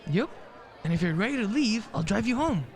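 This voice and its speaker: A man playing a friendly, animated family friend in a radio play. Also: high voice, brisk, in a large hall, faint music behind, some chatter behind. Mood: cheerful.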